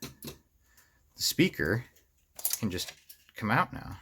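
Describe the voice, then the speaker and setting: An American man giving a casual, unscripted hands-on tutorial, thinking aloud in a halting, rambling way as he works. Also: lowish voice, very animated, pushed and bright, a little hiss or hum. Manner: halting, rambling, talks to himself while working. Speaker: host of a hobby electronics how-to series.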